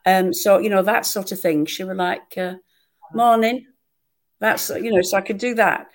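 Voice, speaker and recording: A woman, an actress chatting in an interview and telling lively, self-mocking stories. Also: mid-range voice, moderate pace. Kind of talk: conversational interview.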